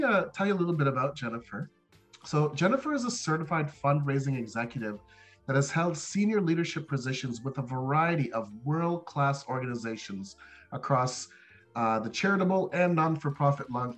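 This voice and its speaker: A man co-hosting an interview show, introducing a guest in an enthusiastic, formal way with hesitant pauses. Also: mid-range voice, a bit bright. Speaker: podcast co-host.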